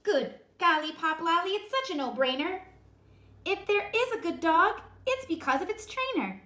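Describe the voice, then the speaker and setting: A woman voicing an excitable young boy cat, in a high, bouncy, singsong way, in an echoey kitchen. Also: moderate pace, exaggerated voice. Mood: excited. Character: excitable young boy cat who thinks he's a chihuahua.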